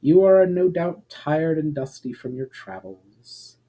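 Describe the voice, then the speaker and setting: A man voicing a proud, calm female elf leader in a fantasy storybook, with stately, measured and formal speech. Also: fairly high pitch, voice pushed up, full character voice, low-quality recording. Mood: wistful, serene.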